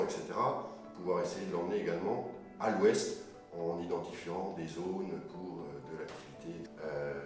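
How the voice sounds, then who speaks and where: A man speaking off the cuff in an interview, pausing hesitantly in mid-phrase. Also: deep voice, a little mellow. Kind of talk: interview testimony, spontaneous. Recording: very echoey, slightly distant mic. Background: music.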